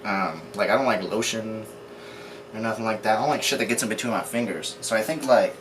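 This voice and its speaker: A man's voice: lowish voice, brisk, background noise. A young man, chatting and joking to viewers while cooking. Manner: jokey, rambling, addresses viewers as kids at home. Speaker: cooking video co-host.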